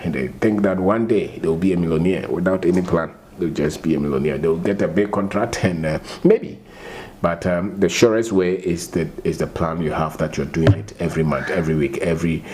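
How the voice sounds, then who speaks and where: A man's voice: sits low, darkish tone, a little hiss or hum. A man with a Ghanaian English accent giving an unscripted motivational talk, repeating himself and firing rhetorical questions. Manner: repeats and restarts phrases, asks rhetorical questions. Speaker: finance talk host.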